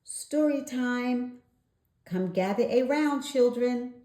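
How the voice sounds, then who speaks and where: A woman voicing a polite, prim female otter librarian in a children's storybook, gently and sweetly correcting. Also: on the high side, slow pace, voice pushed up. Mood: bright announcing. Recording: echoey room.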